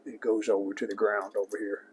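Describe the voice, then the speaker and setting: A man giving a casual repair demonstration, plainspoken and unhurried, speaking in short phrases as he works. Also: fairly low pitch, level tone, a little hiss or hum. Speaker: host of a vintage electronics repair channel.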